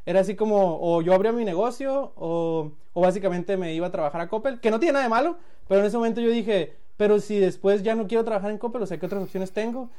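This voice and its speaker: A man's voice: high-pitched, fast talker, hardly any pauses, warm tone. A man in his late twenties from Sinaloa with a Mexican accent, a guest telling his story in a loose, laughing way, restarting phrases and acting out quotes. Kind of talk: casual storytelling chat.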